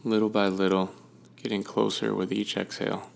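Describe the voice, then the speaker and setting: A man leading a guided meditation with gentle, soothing instruction and unhurried pauses. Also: fairly low pitch, little pitch movement, creaky.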